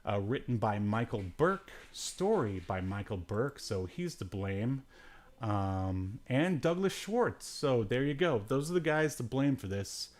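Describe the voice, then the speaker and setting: A man's voice: medium pitch, very animated, measured pace, a little mellow. A man hosting a casual livestream podcast, chatting in a rambling, dryly joking way. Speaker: podcast host. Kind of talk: casual solo livestream chat about obscure media.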